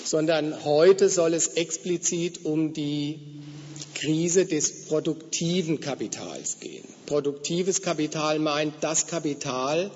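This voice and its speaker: A slightly hoarse man with a fairly high voice delivers a measured, explanatory lecture. The sound is dull and lo-fi, with a long reverb from the hall around him. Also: darkish tone. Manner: measured, explanatory, with occasional fillers. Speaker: guest lecturer. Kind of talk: academic lecture.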